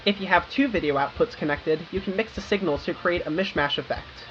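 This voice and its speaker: A woman's voice: lowish voice, fairly quick. A young American woman narrates in an informal, wry way, like a creator talking viewers through a video essay. Her audio is slightly dull, with music playing behind her. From United States. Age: young adult. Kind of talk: scripted video essay narration with casual asides. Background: background music.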